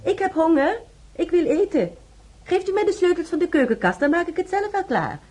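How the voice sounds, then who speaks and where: A woman's voice: extremely high pitch, sing-song, brisk. A woman playing a young housemaid, determined and insistent, in a Dutch radio drama. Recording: faintly noisy radio play recording.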